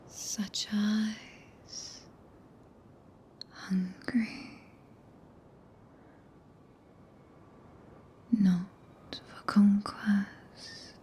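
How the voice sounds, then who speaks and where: A woman with a British accent voicing a tender, possessive, regal witch in a breathy ASMR roleplay whisper, speaking archaic English in a soothing, commanding manner, close to a binaural microphone. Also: medium pitch, mellow. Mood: entranced, possessive.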